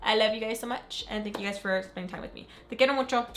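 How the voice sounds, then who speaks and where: A young woman who is sick and raspy, chatting excitedly in a casual beauty unboxing. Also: fairly high pitch, fast talker, noisy background. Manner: gushing, upbeat, says "freaking" a lot. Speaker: beauty vlogger. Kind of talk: casual unboxing chat to camera.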